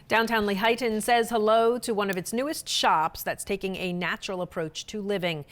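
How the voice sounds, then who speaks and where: Lower-pitched woman reading local news. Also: fairly bright tone. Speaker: news reporter. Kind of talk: scripted local newsreel narration.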